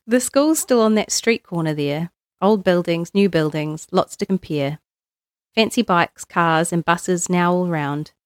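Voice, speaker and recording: A woman with a New Zealand accent reading poetry aloud in measured, short phrases. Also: fairly low pitch, no echo, studio quality. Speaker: guest poetry reader.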